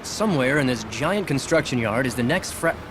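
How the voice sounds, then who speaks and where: A man's voice: mid-range voice, animated, a little fast, heavy background noise, close to an everyday voice. A man playing a young wisecracking superhero in a video game, quippy and sarcastic. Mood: wry, exasperated. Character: young wisecracking male superhero, cocky yet exasperated.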